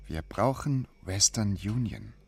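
A man's voice: lowish voice, sing-song, slow, vocal fry, crowd noise, full character voice. A man playing a migrant in a chorus, speaking short declarative radio drama lines. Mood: terse. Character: one of a terse chorus of migrant men. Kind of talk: radio drama choral statements.

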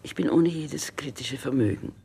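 A man reminiscing in an interview, with a gravelly voice that thins into a breathy whisper. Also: mid-range voice, moderate pace, mellow, slight background noise. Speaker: interviewee recalling a fellow writer.

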